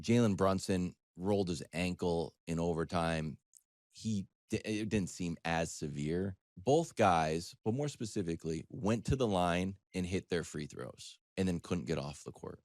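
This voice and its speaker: A middle-aged man telling a conversational story as a sports talk panelist, animated and wry, slipping into imagined dialogue. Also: low-pitched, a little slow, no echo, studio quality.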